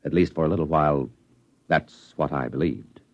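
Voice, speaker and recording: An actor playing a thoughtful male astronaut who narrates his moon landing in a 1950s radio drama, earnest and halting. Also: extremely low pitch, dry recording, lo-fi sound, faint music behind. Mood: wistful. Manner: earnest, reflective, halting with small stammers. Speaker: narrator and crewman.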